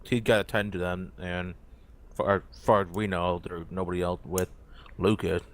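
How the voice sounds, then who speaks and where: A man's voice: low voice, flat delivery, moderate pace. A man taking part in a role-play game, reasoning casually with the other players.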